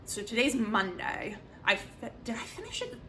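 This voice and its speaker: A young woman with a bright, forward voice talks casually to her viewers as a book reviewer. She is emphatic and opinionated in an informal way, over faint background noise. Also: medium pitch, sing-song, normal speed.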